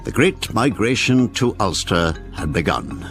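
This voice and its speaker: A man giving scripted documentary narration in a steady, explanatory manner. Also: lowish voice, a little slow, faint music behind.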